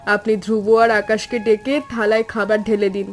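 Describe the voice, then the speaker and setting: Motherly housewife for animated tale, female actor: caring, earnest, steady intonation, faint music underneath. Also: medium pitch, normal speed, lightly acted. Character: gentle motherly village housewife, caring and selfless. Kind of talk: animated story dialogue.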